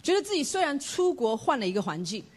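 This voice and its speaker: A woman's voice: high voice, very animated, quick pace. A woman preacher from Taiwan, giving an earnest, emphatic sermon with a personal testimony and rhetorical questions.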